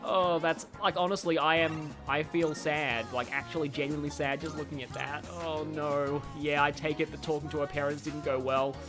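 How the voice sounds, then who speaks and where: Streamer rambling away casually while gaming, mid-pitched voice, game music in the back. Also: fairly even intonation, moderate pace, few pauses. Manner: rambling, chatty, reacts aloud to the game. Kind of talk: casual livestream commentary while playing a video game.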